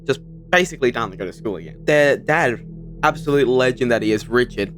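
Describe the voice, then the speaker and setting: A man's voice: medium pitch, animated, fairly quick. A young adult man hosting a video with casual, scripted commentary. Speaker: video host. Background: background music.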